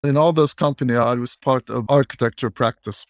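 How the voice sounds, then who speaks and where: A middle-aged man from Turkey speaks at a middle pitch with a gravelly, creaky edge. He answers interview questions in a measured, explanatory way. The recording is dry and close, with no room sound. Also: no echo. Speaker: guest, bank technology executive.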